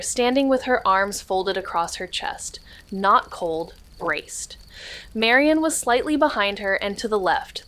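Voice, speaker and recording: An actress playing a wry amateur sleuth who narrates a noir audio drama in a terse, measured, atmospheric way. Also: female voice, medium pitch, a bit bright, lightly acted. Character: wry, hard-boiled female amateur sleuth telling her story.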